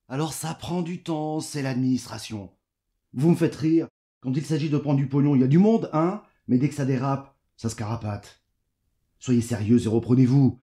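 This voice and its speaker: A man's voice: mid-range voice, dark tone, lightly acted. A man playing a condescending, sardonic fixer with veiled menace, in a French audio drama. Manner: patronising, sardonic.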